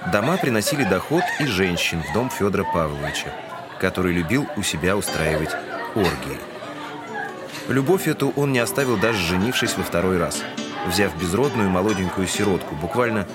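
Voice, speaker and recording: Deep-voiced male actor as wry storyteller. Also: natural voice. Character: storytelling townsman, gossipy and wry. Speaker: narrator. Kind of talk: scripted literary narration. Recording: music behind the narration.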